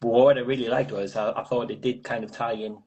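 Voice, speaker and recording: A man with a husky voice casually discussing poetry in a tentative, rambling way, often repeating words as he goes. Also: mid-range voice, fairly even intonation, a little fast, darkish tone, muffled recording. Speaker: host of a live poetry reading.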